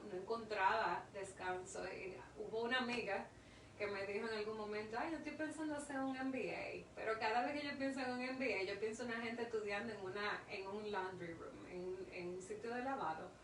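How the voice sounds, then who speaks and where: A woman in her thirties with a Dominican accent, talking through her story with earnest emphasis. She is heard from a distance in an echoey room, with a clear layer of background noise. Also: medium pitch, brisk. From Dominican Republic. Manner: earnest, emphatic, repeats herself for stress. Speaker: interview guest, industrial engineer. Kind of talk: casual interview conversation.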